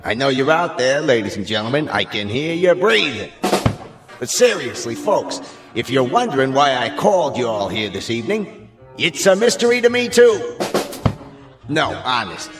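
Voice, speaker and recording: An actor playing a wisecracking American nightclub emcee, working the crowd with hammy old-school patter in a radio drama. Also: male voice, mid-range voice, very animated, moderate pace, close to an everyday voice. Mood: jokey, showy. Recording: echoey club microphone, music behind.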